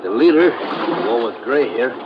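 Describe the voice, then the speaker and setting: An actor playing an earnest, deferential police sergeant and guide in an old-time radio adventure. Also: male voice, mid-range voice, lively intonation, moderate pace, lightly acted. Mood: earnest, helpful. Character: eager, deferential Latin American male police sergeant and guide. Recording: muffled, very noisy vintage radio recording.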